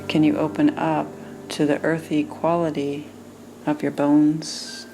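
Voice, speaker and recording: A woman meditation teacher leading a guided meditation in a soothing manner, with gentle pauses between phrases. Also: low voice, flat delivery, faint music behind, poor audio.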